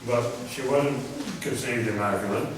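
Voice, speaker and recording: A soft-spoken man giving a rambling religious talk to an audience, often clearing his throat. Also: medium pitch, moderate pace, background noise. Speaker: religious speaker. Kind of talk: informal lecture to an audience. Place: meeting hall before a live audience. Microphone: microphone through a speaker system. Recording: echoey hall, heard from far off.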